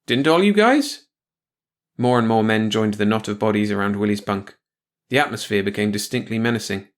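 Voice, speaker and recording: A man's voice: fairly low pitch, little pitch movement, fast talker. A man reading a short story aloud as scripted audiobook narration.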